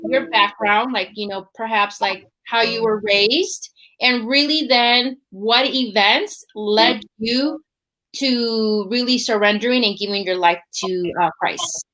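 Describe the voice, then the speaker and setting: A woman's voice: a little high, slow, sharp tone, low-quality recording. A woman hosting a faith podcast in a casual, talkative way, often tagging her sentences with "right?". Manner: chatty, often tags lines with "right?". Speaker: podcast host.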